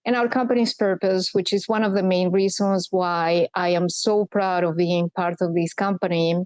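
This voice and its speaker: A woman's voice: on the low side, steady tone, a little mellow, dry recording. Earnest woman from Colombia, dry close sound. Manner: earnest, measured, explanatory. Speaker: guest, corporate sustainability executive. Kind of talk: podcast interview.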